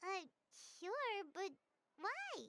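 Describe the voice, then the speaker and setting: A woman's voice: very high voice, big pitch swings, drawn-out words, pushed and bright, slight background noise, exaggerated voice. A woman voicing a small, chatty, curious female companion creature who talks about herself in the third person. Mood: startled. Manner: chirpy, refers to herself by name. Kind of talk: game voice acting. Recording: clear game music behind.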